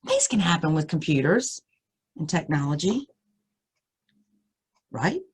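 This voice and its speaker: A woman reading a spooky first-person story aloud, with suspenseful, deliberate phrasing and dramatic emphasis. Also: sits low, big pitch swings, slightly rough.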